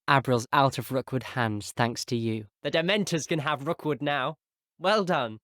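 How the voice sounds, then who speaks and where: Lively English schoolboy wizard. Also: male voice, fairly high pitch, no echo, studio quality. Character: well-mannered, slightly haughty teenage male wizard student. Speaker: video game voice actor. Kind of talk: video game character voice clips.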